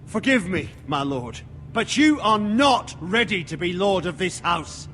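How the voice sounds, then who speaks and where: A man's voice: high-pitched, expressive, unhurried, pushed and bright, heavily acted. A man playing a hot-headed, hawkish knight in a video game drama, blunt and contemptuous. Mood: angry, exasperated. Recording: long hall reverb, faint music.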